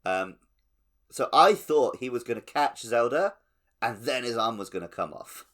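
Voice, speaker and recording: A man's voice: mid-range voice, expressive, normal speed, a bit bright. A man co-hosting a podcast, talking casually and conversationally about video games. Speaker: podcast co-host. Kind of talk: casual gaming podcast chat.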